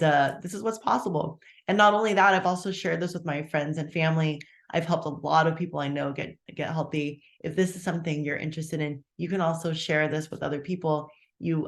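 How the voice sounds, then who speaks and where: A speaker in their fifties runs a live online sales talk at an easy, conversational pace. They lay out each price in turn and keep checking in with "okay?" and "all right?". The tone stays upbeat and enthusiastic when they talk about their own results. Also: mid-range voice. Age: 50s. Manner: chatty, walks through prices step by step, checks in with "okay?". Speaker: webinar presenter selling a meal plan. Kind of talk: casual live sales pitch.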